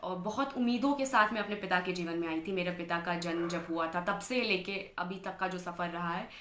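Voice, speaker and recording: A woman's voice: lowish voice, brisk, fairly bright tone, echoey room, slight background noise. A woman in her twenties from Bihar, India, earnestly telling her life story as an interview guest and mixing English phrases into her Hindi. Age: 20s. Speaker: interview guest, anchor and model.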